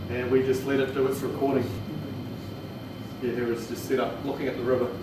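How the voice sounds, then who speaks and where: A man with a New Zealand accent presents technical training in an informal, plain-spoken way. He is picked up from far off in a very reverberant room, with clear noise behind him. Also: medium pitch, little pitch movement, brisk. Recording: echoey room, distant mic, noisy.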